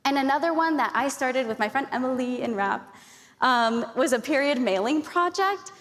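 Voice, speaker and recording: A woman's voice: sits high, animated, normal speed, fairly bright tone. A young woman from Arizona speaking on a conference panel, earnest and chatty, with lots of fillers and thanks. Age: young adult. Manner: earnest, grateful, chatty with many likes and fillers. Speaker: youth panelist. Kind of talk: conference speech. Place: large conference hall. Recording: long hall reverb.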